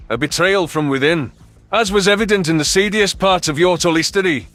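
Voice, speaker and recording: A man's voice: high voice, a bit bright. A man reading scripted first-person science fiction narration as an audiobook narrator.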